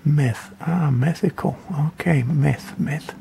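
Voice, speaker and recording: A man's voice: a little high, slow, creaky, warm tone, a little hiss or hum. A man giving a casual game tutorial in a rambling, offhand, hesitant way. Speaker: gaming video creator.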